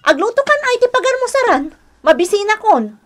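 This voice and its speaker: A woman acting a lazy, scolding young wife and harsh aunt in a radio drama, sharp and complaining. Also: very high-pitched, very animated, brisk, slight background noise. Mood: bossy, demanding.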